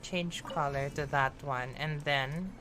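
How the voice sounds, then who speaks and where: Low-voiced Filipino woman streaming a game. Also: fairly even intonation, takes it slowly. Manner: playful, reactive, switches between English and Tagalog. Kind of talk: casual live game commentary. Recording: loud game music behind. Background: game music.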